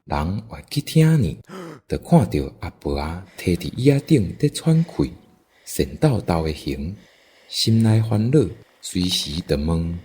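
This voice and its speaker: A man's voice: low-pitched, sing-song, unhurried, mellow, a little hiss or hum. A man with a Taiwanese accent narrating a scripted folk story as the storyteller.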